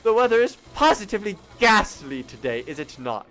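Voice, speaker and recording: Brief: man doing a pompous mock-posh Englishman, drawn-out English accent, lively sing-song pitch. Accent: mock upper-class English. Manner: hammy mocking British put-on, drawn out. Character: exaggerated posh old-timey Englishman.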